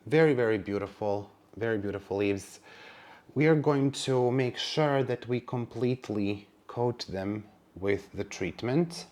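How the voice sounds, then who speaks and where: A man's voice: mid-range voice, unhurried, a little mellow. A man whose first language is Serbian, narrating a casual plant vlog in a chatty, wry manner with sassy asides. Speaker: plant vlogger.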